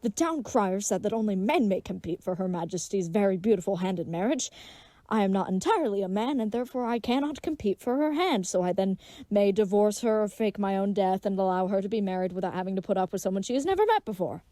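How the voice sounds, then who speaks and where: A woman's voice: mid-range voice, animated, fast talker, warm tone, no echo, lightly acted. A woman playing a gallant, dim and earnest leathersmith turned would-be knight, with pompous, flowery speech in a comedic audio drama. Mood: troubled, earnest.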